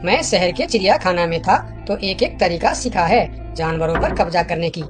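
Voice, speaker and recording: A woman's voice: fairly low pitch, expressive, moderate pace, close to an everyday voice. A woman voicing a clever, confident young male monkey for a children's cartoon, with music under the voice. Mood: confident, reassuring.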